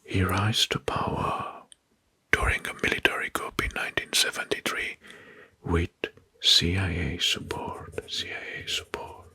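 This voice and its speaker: A man whispers very close and dry, in a very breathy, hushed voice with almost no full tone. He narrates facts in a soft-spoken ASMR style, unhurried and deliberate, softly repeating names. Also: low-pitched, steady tone, dry recording.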